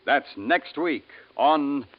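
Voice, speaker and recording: A man's voice: fairly high pitch, animated, extremely slow. A man announcing a 1950s radio program from a script. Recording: muffled vintage radio recording with music behind. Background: music.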